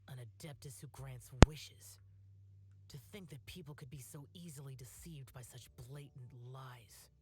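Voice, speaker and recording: A man's voice: medium pitch, unhurried, close to an everyday voice. A man voicing an ancient but youthful demon slayer in a game, stern and aloof, with formal, solemn diction. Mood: disdainful. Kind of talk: animated game voice acting.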